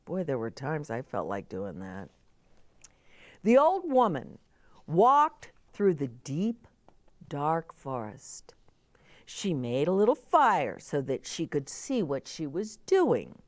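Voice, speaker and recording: A woman's voice: deep voice, huge pitch swings, takes it slowly, darkish tone, no echo. A middle-aged woman reading a children's story aloud, expressively, with chatty asides explained simply as if to young children.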